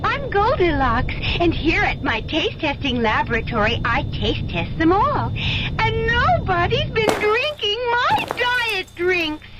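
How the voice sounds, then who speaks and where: A woman's voice: extremely high pitch, very animated, measured pace, very noisy, exaggerated voice. A woman playing a playful Goldilocks as a taste tester in a radio commercial, with an exaggerated sing-song delivery. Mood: perky, playful. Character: perky storybook Goldilocks, playful diet-drink taste tester. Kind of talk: 1970s radio commercial character acting.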